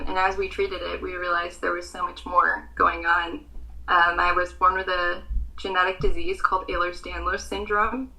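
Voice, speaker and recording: A woman's voice: on the low side, vocal fry, sharp tone, rough recording. A young American woman in her twenties with an American accent, a pageant contestant answering interview questions earnestly, with frequent ums.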